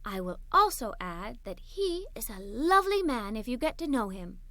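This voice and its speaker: A woman voicing a kindly, welcoming librarian lady in a children's storybook, gently firm. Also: fairly high pitch, sing-song, moderate pace, no room sound, pitched below the performer's own voice.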